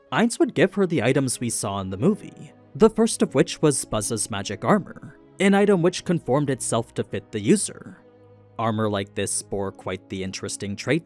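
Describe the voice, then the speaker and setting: A man's voice: medium pitch, darkish tone. A man reading scripted explainer narration as the narrator of an anime lore video.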